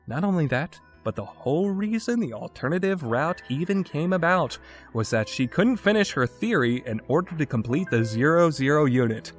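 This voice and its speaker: A man's voice: a little high, expressive, moderate pace, soft background music. A man giving an opinionated video game review in an exasperated, sarcastic and emphatic way, with casual phrasing.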